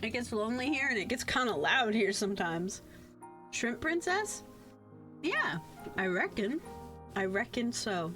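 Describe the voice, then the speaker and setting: A young adult woman chatting casually on a livestream, rambling in an animated way and swearing freely. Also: fairly high pitch, a little slow, long pauses, music in the background. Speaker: VTuber live streamer. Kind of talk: casual chat on a game livestream.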